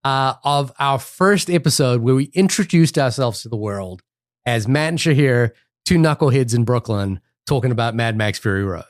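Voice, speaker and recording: A man's voice: medium pitch, moderate pace, dry recording, clean studio sound. Man with a mixed New Zealand accent. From Fiji and New Zealand. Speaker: podcast co-host. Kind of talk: casual film podcast chat.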